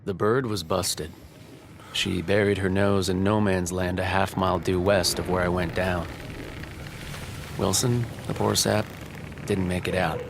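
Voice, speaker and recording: A man's voice: sits low, little pitch movement, unhurried, vocal fry, long pauses, background noise. An actor playing a roguish, cynical First World War fighter pilot, narrating his story in a wry, casual, slangy way. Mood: wry, detached. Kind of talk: scripted cinematic narration. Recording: loud music behind the voice.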